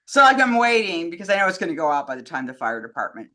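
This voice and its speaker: A woman's voice: on the low side, big pitch swings, quick pace, fairly bright tone. An American woman co-hosting a show, chatting casually and telling things in an informal, anecdotal way. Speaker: co-host fielding fan questions.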